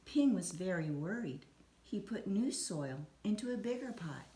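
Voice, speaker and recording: A woman reading a children's story aloud in an expressive, deliberate storytelling manner. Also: mid-range voice. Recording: roomy echo, some background noise.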